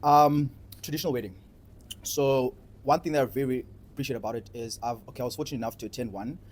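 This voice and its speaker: A man's voice: medium pitch, quick pace, a little mellow, a little hiss or hum. A young man with a South African accent hosting a casual discussion, telling personal stories with emphatic repetition. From Cape Town, South Africa. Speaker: discussion host and participant.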